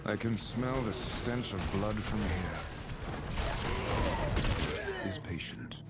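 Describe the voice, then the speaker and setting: A man's voice: lowish voice, slow pace. A man playing a cold, grim adventurer in a video game, terse and brooding. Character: grim, cold, matter-of-fact dark adventurer. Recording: loud music behind.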